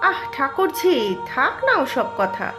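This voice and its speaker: Cartoon role: woman as principled, forgiving mother, high pitch, conciliatory, clear musical backing. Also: very animated, measured pace, music in the background. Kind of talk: cartoon voice acting.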